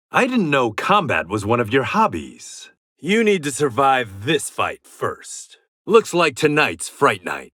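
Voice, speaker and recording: A man's voice: medium pitch, a bit bright, dry recording, studio quality. An actor playing a proud warrior and former gangster in a video game, confident and terse, with a sardonic edge to his short fight taunts.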